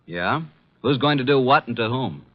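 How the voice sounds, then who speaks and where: Actor as animated, wisecracking detective's sidekick. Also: male voice, mid-range voice, normal speed, dry recording, natural voice. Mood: wry, glib. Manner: dry, glib, flirtatious. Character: wisecracking, unflappable male private detective's assistant. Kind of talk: 1940s-50s radio drama acting and narration. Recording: dull old radio recording.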